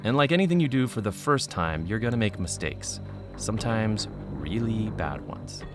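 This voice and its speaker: An American actor playing a skeptical paranormal investigator who hosts a podcast, speaking in a casual, confiding, wry way. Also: male voice, lowish voice, big pitch swings, moderate pace, music in the background. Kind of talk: scripted narration and field recording in audio drama.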